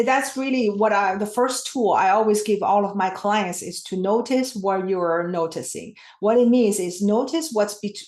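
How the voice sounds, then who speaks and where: A woman's voice: mid-range voice, a little mellow. Woman guest coach, second-language English, earnest, reverberant room. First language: not English. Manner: simple, earnest explanations with frequent restarts. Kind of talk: podcast interview.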